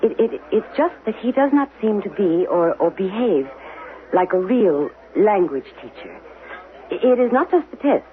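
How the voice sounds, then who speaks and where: An actress playing an anxious mother in a regimented future society, speaking haltingly and stiffly formally in a radio drama. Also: medium pitch, big pitch swings, phone-quality sound, lightly acted. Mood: worried, halting. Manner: halting, repeats words, stiffly formal without contractions. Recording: band-limited 1970s radio broadcast.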